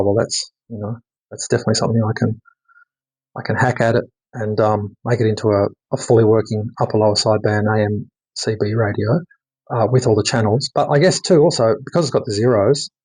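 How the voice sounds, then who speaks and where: A man with a fairly low, husky voice talks through a hands-on demo like a hobbyist at the bench. His delivery is casual and unscripted. He moves haltingly from point to point, often letting a thought trail off. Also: a little mellow, poor audio. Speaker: radio hobbyist showing his modified radio.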